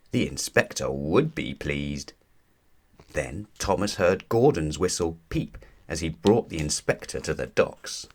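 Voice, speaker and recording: Low voiced guy reading a kids bedtime book, slow and really expressive. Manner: expressive, deliberate storytelling. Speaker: storybook narrator. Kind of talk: children's read-aloud narration.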